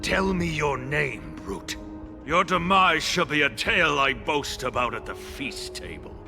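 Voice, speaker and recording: A man voicing a boastful, bloodthirsty enemy warrior in a fantasy game, gravelly, theatrical and taunting. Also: a little high, sing-song, measured pace, over music. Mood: menacing boastful. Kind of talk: fantasy video game acting.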